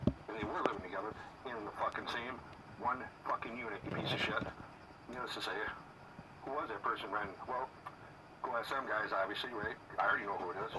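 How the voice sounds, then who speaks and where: A low-voiced man talks quickly through an angry voicemail, confrontational and thick with profanity. The sound is a phone playback away from the mic, with clear noise. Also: recorded from a distance. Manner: profane, accusatory, insulting, confrontational.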